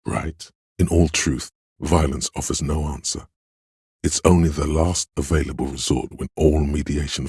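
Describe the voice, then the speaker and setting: Game role: stern, imperious male voice of authority, extremely low register, dry close sound, declamatory delivery. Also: a little mellow, slightly rough, no echo, full character voice. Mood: grave, solemn. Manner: declamatory, imperious, clipped pronouncements. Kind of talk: scripted video game narration and voice acting.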